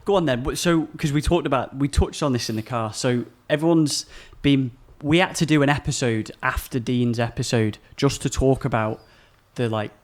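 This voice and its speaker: A man's voice: medium pitch, moderate pace, few pauses. Host, mid voice, keen, trips over his words a bit. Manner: eager, stumbling restarts, agreeing often. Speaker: podcast host. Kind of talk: casual chat.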